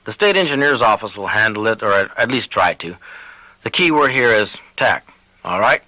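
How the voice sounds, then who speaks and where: A man voicing a senior police commander in an audiobook, cautious, deliberate and official. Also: on the low side, animated, bright, forceful tone, no echo, poor audio.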